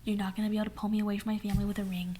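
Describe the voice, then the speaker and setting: Hoarse young woman whispering sassily. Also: mid-range voice, flat delivery, very fast, mellow, slight background noise. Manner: sassy, dramatic, whispering. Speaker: ASMR storyteller. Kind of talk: whispered ASMR story time.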